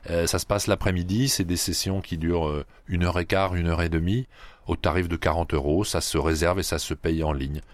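A man from the Paris region, a club head being interviewed on radio, who pauses often with fillers and restarts. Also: low-pitched, fairly even intonation, no room sound. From Paris region, France. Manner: hesitant, many fillers and restarts. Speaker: guest, sand yachting club head.

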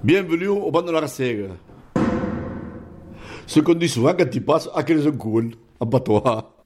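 Teasing man over loud music. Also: fairly high pitch, animated, measured pace. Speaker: interviewee. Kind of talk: joking casual chat.

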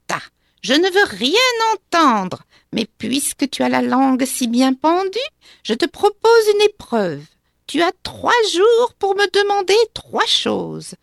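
A woman voicing a spiteful old witch with a small, sharp, sour voice, sneering and drawing out her words in a storybook reading. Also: high-pitched, vocal fry, no room sound, studio quality, pitched above the performer's own voice, exaggerated voice. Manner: sneering, mocking, drawn-out words.

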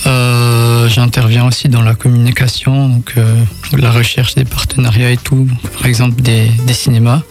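Even-toned young man from Madagascar. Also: mid-range voice, normal speed, hardly any pauses, faint music behind. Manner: hesitant. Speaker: civic service volunteer, radio guest. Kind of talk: unscripted interview answers.

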